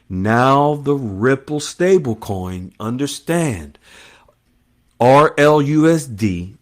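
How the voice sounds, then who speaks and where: A man hosting an online finance news monologue, speaking in short emphatic phrases and repeating key terms as he explains them to followers. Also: fairly low pitch. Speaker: host of an online currency news channel.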